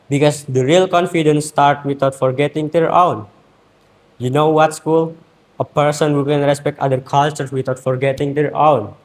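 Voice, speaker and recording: A teenage student from Indonesia with an Indonesian accent, giving an earnest, rhetorical competition speech with emphatic pauses. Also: male voice, a little high, a little mellow, rough recording. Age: teens.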